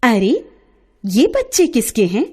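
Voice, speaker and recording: A woman voicing an excitable, warm-hearted young woman artist in a storybook tale, with familiar exclamations. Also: a little high, slow, husky, heavily acted. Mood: surprised, delighted.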